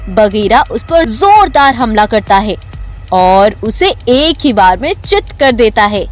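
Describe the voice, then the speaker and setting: A woman's voice: on the high side, very animated, slow pace, soft background music. A woman narrating a scripted children's animal story.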